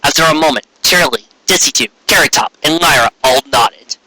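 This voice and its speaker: A man reading a story aloud in an expressive live style, with a casual way of talking. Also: fairly high pitch, brisk, breathy edge, low-quality recording. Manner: expressive, acting out character dialogue. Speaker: reader of fan fiction.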